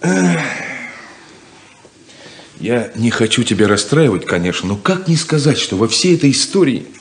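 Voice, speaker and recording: A man's voice: medium pitch, sing-song, moderate pace, slight background noise. A man playing a stern, reproachful elder brother in a 1970s Soviet radio play, with a brusque, nagging delivery. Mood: worried.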